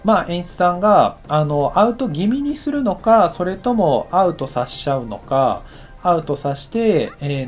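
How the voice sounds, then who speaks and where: A man's voice: fairly high pitch, a little mellow. A man giving a casual instructional lesson in a conversational manner, as a director explaining his work step by step. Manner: conversational, counts aloud while working. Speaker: animation director teaching.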